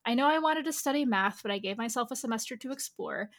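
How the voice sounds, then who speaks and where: Female podcast guest with a lightly high pitch; chatty, explanatory, casual personal storytelling. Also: expressive, fast, fairly bright tone. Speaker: interview guest.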